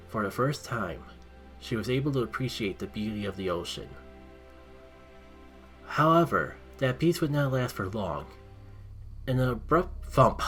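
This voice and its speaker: A man's voice: mid-range voice, a bit bright. A man narrating expressively, with dramatic emphasis, as a video creator telling his own story. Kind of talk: casual intro, then reading own written story aloud.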